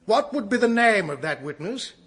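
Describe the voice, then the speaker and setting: British male barrister, formal, orotund, deferential, high voice, radio comedy courtroom. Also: very animated, normal speed, fairly bright tone. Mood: formal, declaiming. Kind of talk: radio comedy drama acting.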